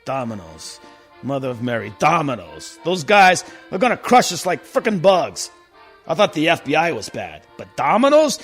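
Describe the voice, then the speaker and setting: An actor playing a stressed, irritable Italian-mob boss in a comedic radio play, blustering and hesitant. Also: male voice, fairly high pitch, wildly swooping pitch, moderate pace, husky, soft background music, exaggerated voice. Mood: panicked despair. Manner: blustering, hesitant, peppered with mild swearing. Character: stressed, irritable Italian-American mob boss who secretly runs pizzeria.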